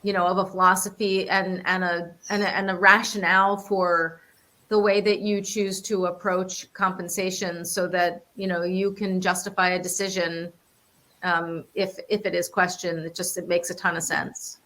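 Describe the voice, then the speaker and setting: A woman's voice: fairly low pitch, level tone, moderate pace, pushed and bright. A woman on a panel adding her view in a candid, informal, conversational way.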